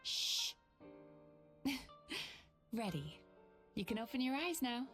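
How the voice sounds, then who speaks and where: Soft, breathy, doting dream healer. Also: female voice, a little high, big pitch swings, faint music behind, exaggerated voice. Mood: playful, doting. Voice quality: soft, breathy, often near a whisper. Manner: coaxing, affectionate, unhurried. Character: gentle, playful female dream healer, soothing and doting. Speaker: video game character. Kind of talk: soft, guided-relaxation game voice acting.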